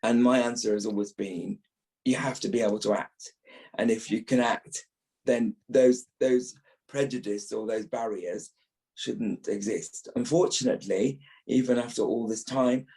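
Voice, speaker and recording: A man's voice: mid-range voice, warm tone. A man, an actor, talking reflectively as an interview guest, stressing his points by repeating words. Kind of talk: reflective podcast interview talk.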